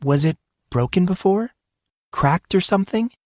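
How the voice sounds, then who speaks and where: A man voices a teenage girl in a fantasy audio drama, pitched up from his natural register. She is blunt, deadpan and coolly probing. The sound is close and dry. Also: normal speed, no room sound. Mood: probing, serious.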